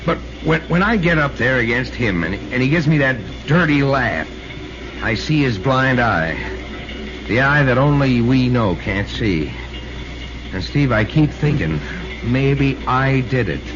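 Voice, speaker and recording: A man's voice: medium pitch, lively intonation, a little slow, close to an everyday voice. Stubborn American ballplayer on muffled old radio played by a man. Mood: troubled, halting. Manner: plain-spoken, slangy, stubborn. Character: stubborn, loyal, troubled big star ballplayer, adult man. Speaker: radio drama actor. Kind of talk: 1940s radio acting. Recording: muffled, noisy vintage radio recording.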